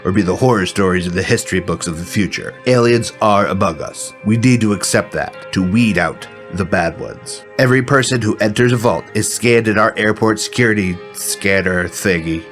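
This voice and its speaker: An actor playing a self-important crackpot weather entrepreneur, solemn and grandiose in a satirical broadcast. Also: male voice, lowish voice, normal speed, few pauses, fairly bright tone, faint music behind, lightly acted.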